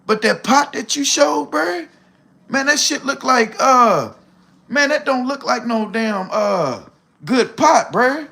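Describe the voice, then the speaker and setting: A man's voice: very high voice, expressive, slow pace, vocal fry, pushed and bright. A man, talking bluntly and preachily on a casual livestream, swearing freely and calling the listener "bro". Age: 40s. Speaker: livestream host.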